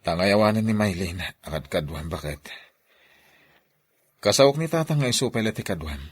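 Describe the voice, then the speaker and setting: A man plays a scheming husband in an Ilocano radio drama. His voice is very low and rough with husk, and he speaks with an Ilocano accent, commanding and secretive. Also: lively intonation, moderate pace. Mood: urgent, scheming. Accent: Filipino, Ilocano.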